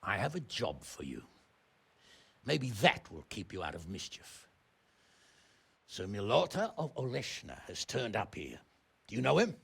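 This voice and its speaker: An actor playing a stern, exasperated noble uncle in a medieval video game, blustering, sarcastic and blunt. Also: male voice, on the low side, wildly swooping pitch, unhurried, vocal fry, pauses often, fairly bright tone, husky, full character voice. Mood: stern, businesslike. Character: stern, exasperated noble uncle scolding his wayward ward. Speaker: medieval lord and guardian.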